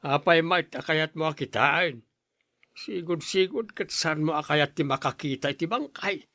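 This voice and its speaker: An actor playing a concerned, questioning father in a radio drama, speaking in an expressive, coaxing way. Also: a little high, normal speed, no echo, studio quality. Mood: doubtful.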